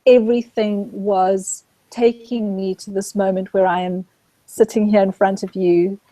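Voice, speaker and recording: A middle-aged woman from Zambia, a guest speaking gently and reflectively about her spiritual search, often pausing to restart her thought. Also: mid-range voice, flat delivery, dry recording, poor audio. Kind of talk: reflective spiritual interview talk.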